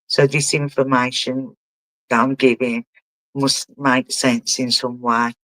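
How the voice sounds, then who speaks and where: Man, spiritual medium, live reading, halting delivery, checks in with the sitter, medium pitch, dry sound. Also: level tone, sharp tone, no room sound, rough recording. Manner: halting, relays messages and keeps asking the sitter to confirm.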